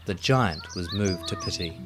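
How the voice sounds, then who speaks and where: A man narrating a children's story in an expressive, dramatic way, with music behind him. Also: low-pitched, measured pace.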